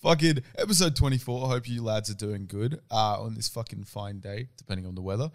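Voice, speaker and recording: A man's voice: fairly low pitch, a little fast. A young man with an Australian accent co-hosting a podcast, talking in casual, slangy, profane banter. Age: young adult. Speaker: podcast co-host.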